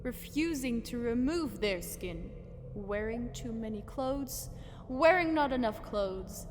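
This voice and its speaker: An officious fae woman acted by a woman declaims in a slow, formal, deadpan way, as if weary of the whole court. It is a fantasy audio drama, with long hall reverb and clear noise behind her. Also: a little high. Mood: solemn, disdainful. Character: officious, exasperated female fae prosecutor reading out absurd charges.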